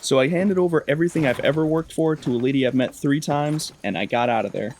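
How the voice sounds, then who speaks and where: A man's voice: on the high side, fast talker, darkish tone, a little hiss or hum. A man narrating his own video game playthrough in a casual storytelling style.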